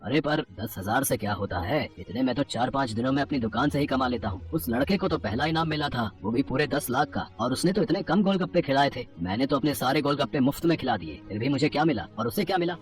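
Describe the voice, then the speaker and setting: A greedy, grumpy male street vendor from a cartoon fable, acted by a man. He is blunt and exclamatory, speaking at a medium pitch with faint music behind him. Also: animated, quick pace, hardly any pauses, lightly acted. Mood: disappointed grumbling. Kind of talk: animated Hindi moral story dialogue.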